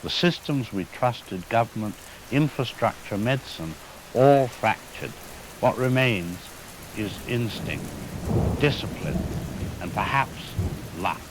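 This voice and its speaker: An actor playing a grave, erudite virologist in a radio drama, speaking formally and deliberately with weighty pauses and cold fatalism. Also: male voice, on the low side, expressive. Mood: somber resolve. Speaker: expert guest. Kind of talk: scripted radio drama interview. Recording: hiss, rain and thunder noise. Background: rain, thunder, hiss.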